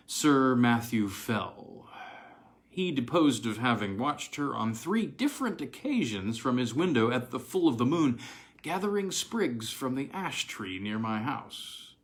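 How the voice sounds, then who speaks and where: A male storyteller with a medium-pitched voice reads an old ghost tale aloud. His delivery is measured and expressive, in the style of a literary audiobook. He places deliberate pauses between phrases.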